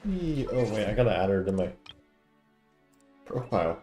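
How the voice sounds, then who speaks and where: A male gamer with a lowish, dark and mellow voice. He comments casually on his playthrough and reads out dialogue, with soft music behind him. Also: very animated, normal speed, reverberant. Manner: offhand reactions, reads dialogue aloud. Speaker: gaming content creator. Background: game music.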